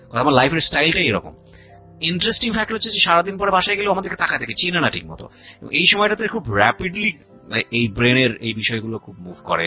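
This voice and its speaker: A Bangladeshi man, a radio host, talking conversationally and bluntly in an interview, mixing English into his speech. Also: mid-range voice. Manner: mixes English words and phrases into speech; opinionated, outspoken. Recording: dull lo-fi audio with music behind. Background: background music.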